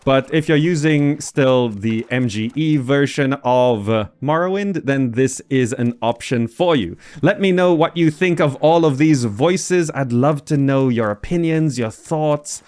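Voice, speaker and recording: A man's voice: medium pitch, measured pace, few pauses, a little mellow, clear, resonant tone. A man hosting a gaming video, giving casual commentary and thinking aloud as he goes. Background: faint game music.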